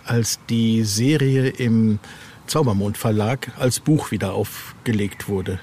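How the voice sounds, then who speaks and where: A man's voice: on the low side, takes it slowly, darkish tone, a little hiss or hum. A man being interviewed as an author, chatting casually and searching for words with repeated phrases.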